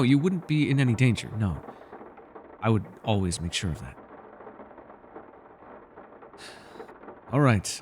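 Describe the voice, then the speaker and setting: A man performs a bookish male elf companion for a fantasy audio roleplay, with a lowish voice and very lively intonation. He is wry, guarded and formally wordy, and the sound carries a long hall-like reverb. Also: slight background noise. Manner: wry, wordy and formal, flustered when pressed. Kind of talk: fantasy ASMR roleplay acting.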